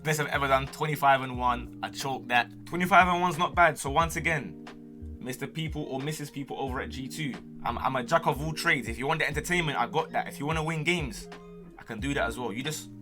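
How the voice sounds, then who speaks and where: A young man with a London accent chatting casually as a streamer, full of slang and cocky, playful banter. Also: mid-range voice. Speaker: streamer and gamer answering viewer questions. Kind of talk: casual chat to camera. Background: music.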